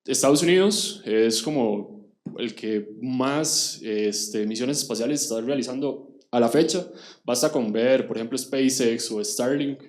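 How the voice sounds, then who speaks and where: A man's voice: medium pitch, a little slow, a little mellow. Costa rican guy giving a conference talk, explains stuff straight to the crowd, echoey room. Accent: Costa Rican Spanish. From Costa Rica. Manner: explanatory, addressing the audience directly. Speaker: conference speaker.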